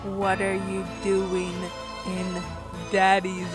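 A woman acts out a surly, confused cartoon hedgehog. The voice is mid-pitched, flat and drawn out, with music clearly behind it. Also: extremely slow. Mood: indignant, halting. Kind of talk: amateur comic dub.

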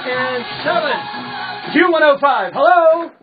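A middle-aged man working as a radio disc jockey, talking in playful, high-energy patter and stretching words for effect. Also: unhurried. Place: small radio studio. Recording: dull, distant camera sound. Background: music playing.

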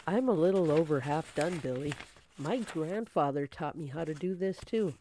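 A woman's voice: low-pitched, animated, moderate pace, warm tone, slight background noise, low-quality recording. A woman playing a polite, efficient, matter-of-fact detective's office assistant in an old-time radio drama.

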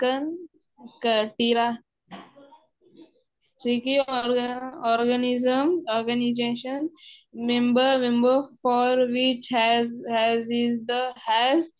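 Woman, student, video call, halting reading, restarts, flat tone. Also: on the high side, rough recording.

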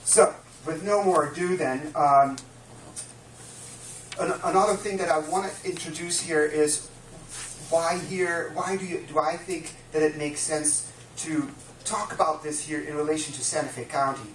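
A Dutch-accented man in his fifties gives a lecture, his fairly high voice reaching the mic from a distance. The small room adds a noticeable echo, and a faint hum sits underneath as he works through his points with frequent "uh"s. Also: steady tone, measured pace. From Netherlands. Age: 50s. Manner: earnest and explanatory, frequent uh fillers. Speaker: guest speaker. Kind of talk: public lecture. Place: small dim room with a projection screen, live audience. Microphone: distant camera mic.

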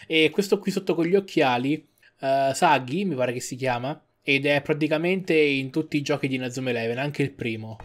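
A young adult man giving casual reaction commentary on an animated film. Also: mid-range voice, brisk. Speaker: reactor commenting on an anime fandub. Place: indoor room at home. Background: music and sound of the anime playing.

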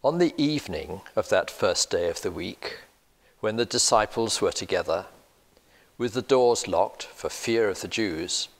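Older male reader, slow, deliberate scripture reading with church reverb. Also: lowish voice. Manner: steady, deliberate reading aloud. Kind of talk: Bible reading. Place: church, indoors, seated at a lectern.

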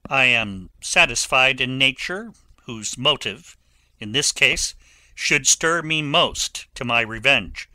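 A man's voice: mid-range voice, lively intonation, sharp tone, dry recording, heavily acted. An actor playing a proud young nobleman who guards his honour, speaking formally in a Shakespearean dramatic reading. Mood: proud, guarded. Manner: deliberate, formal.

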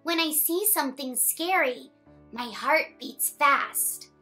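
A young woman hosting children's story time, reading a picture book aloud in an animated, expressive way. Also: high-pitched, slow pace, faint music behind. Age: young adult.

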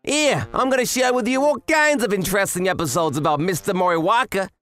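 A man voicing an excitable, chatty young gangster underling who scares easily, in an animated manga dub. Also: high-pitched, fairly quick, faint music behind. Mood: excited, wailing. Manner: excitable, exclamatory.

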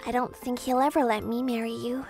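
A woman's voice: on the high side, little pitch movement, a little fast, a little mellow, soft background music, natural voice. A woman voicing an outspoken, fiercely loyal teenage schoolgirl in love, earnest and emotional, in a manga dub. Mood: shy, hesitant.

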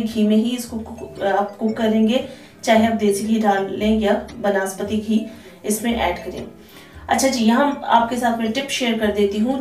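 A woman's voice: fairly high pitch, darkish tone. A woman hosting a cooking channel, giving friendly step-by-step instructions in a chatty way. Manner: friendly, chatty instructions with frequent "theek hai ji". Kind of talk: cooking tutorial voice-over. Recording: echoey, distant voice-over with faint music.

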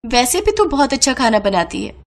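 A woman's voice: high voice, sing-song, normal speed, voice pushed up. A woman voicing a wealthy, caring young friend, chatty and emphatic, in a cartoon moral story. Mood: encouraging.

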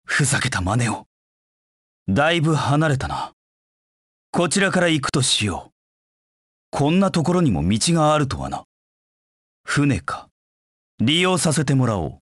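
An actor voicing a proud, cold dark knight in a video game, with curt, haughty, disdainful delivery. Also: male voice, mid-range voice, very animated, measured pace, long pauses, dry recording, studio quality. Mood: cool, disdainful. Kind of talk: video game voice acting in short battle lines.

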